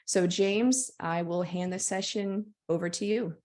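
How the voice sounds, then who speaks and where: A woman hosting an online webinar, giving a clear promotional introduction with a few fillers over a video call. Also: medium pitch, unhurried, a little mellow. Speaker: event host.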